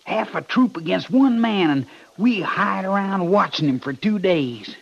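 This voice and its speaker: An actor playing an anxious, deferential American cavalry soldier in a 1950s radio western, with a husky voice. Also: male voice, high-pitched, animated, a little slow, heavily acted. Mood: restless, uneasy. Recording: dull 1950s radio recording.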